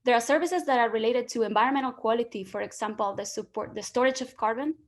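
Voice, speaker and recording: A woman with a Spanish accent gives a science talk over a video call. Her voice sits a little high. She explains patiently and often pauses on fillers and restarts her phrases. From Spain. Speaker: guest lecturer, soil ecologist. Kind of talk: online presentation. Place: home or office, video call.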